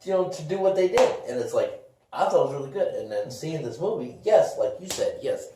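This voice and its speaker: A man's voice: fairly high pitch, sing-song, a little fast, warm tone. Middle-aged man, distant and echoey. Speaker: podcast co-host. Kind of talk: casual gaming and movie chat. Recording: echoey room, distant mic, hissy noise.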